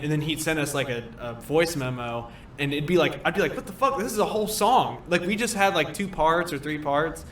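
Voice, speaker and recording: A man's voice: on the high side, fairly bright tone, echoey room, a little hiss or hum. A man in his twenties, a band member, chatting as a podcast guest and telling loose stories with quoted asides. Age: 20s. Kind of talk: casual chat.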